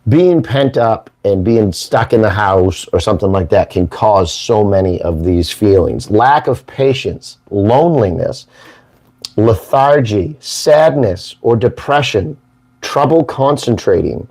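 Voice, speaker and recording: A hoarse man hosts a casual morning show, rambling and joking in a folksy way. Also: on the low side, lively intonation, a little slow, a little mellow. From Maine, United States. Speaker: host.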